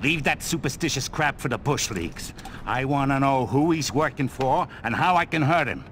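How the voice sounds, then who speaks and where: A man's voice: mid-range voice, lively intonation, normal speed, background noise, close to an everyday voice. A man playing an arrogant, short-tempered middle-aged mob boss in a video game, blustering and bullying. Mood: scornful. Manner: blustering, swaggering. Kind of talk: video game cutscene acting. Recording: loud music behind the voice.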